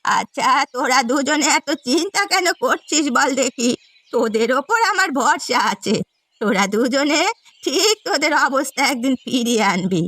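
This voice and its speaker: A woman voicing a kindly, worried old village grandmother in a cartoon, with slow, drawn-out, quavering speech. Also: high-pitched, lively intonation, fairly bright tone, dry recording. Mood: reassuring.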